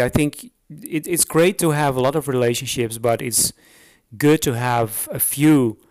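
Man, Dutch accent, non-native English speaker, podcast host, unscripted, halting, many fillers and restarts, mid-pitched voice. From the Netherlands. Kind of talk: solo podcast introduction.

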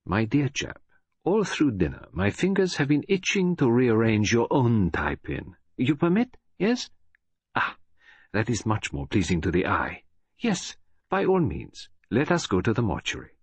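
A man's voice: mid-range voice, huge pitch swings, measured pace, breathy edge, no room sound, full character voice. A man voicing a fussy, dapper older detective, precise and teasing.

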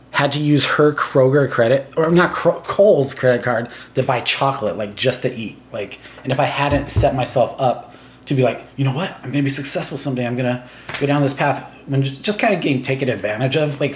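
Male podcast guest, 30s, Chinese first language; loose, rambling delivery, mid pitch, echoey room. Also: brisk. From Taiwan. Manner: rambling, filler-heavy, laughs between thoughts. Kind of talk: casual chat.

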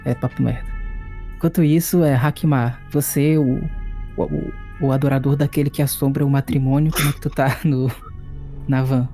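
A man's voice: medium pitch, steady tone, moderate pace, vocal fry, mellow, faint music behind. A man narrating a tabletop game as game master in a loose, profane storytelling manner.

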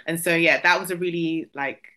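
A woman's voice: fairly low pitch, steady tone, a little fast, bright, forceful tone. A young woman from the UK chatting casually as a podcast guest, rambling with lots of fillers and restarts. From United Kingdom. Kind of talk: casual chat.

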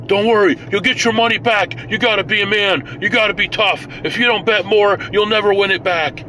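A man's voice: high-pitched, steady tone, a little fast, pitched above the performer's own voice, rough recording. A man putting on the voice of a brash young man who bossily urges gamblers to keep betting. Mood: pushy. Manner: bossy, hectoring. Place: inside a moving car. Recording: loud car road noise.

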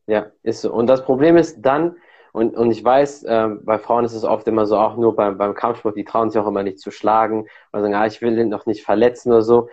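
A man hosting a podcast, explaining things in a calm, casual way. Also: lowish voice, brisk. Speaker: podcast host. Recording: dull lo-fi audio.

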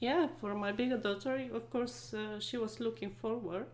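A woman's voice: a little high, fairly even intonation. Woman speaking English carefully. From Bulgaria. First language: Bulgarian. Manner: thoughtful, searching for words with many pauses. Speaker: guest. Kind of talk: casual podcast conversation.

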